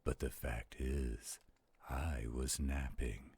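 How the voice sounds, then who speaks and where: A man voicing a slow-spoken werewolf who solemnly recites gothic poetry in an audio roleplay, with deliberate, gravelly delivery. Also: a bass voice, fairly even intonation, a little mellow, exaggerated voice. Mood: brooding, solemn. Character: slow-spoken male werewolf reading a poem by campfire.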